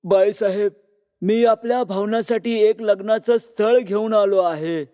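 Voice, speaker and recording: Deferential old servant-matchmaker, cartoon tale, male actor: high-pitched, soft-edged tone, eager to please, heavy reverb. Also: fairly even intonation, unhurried, clear, resonant tone. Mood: eager, respectful. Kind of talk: cartoon moral story dialogue. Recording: long reverb on voice.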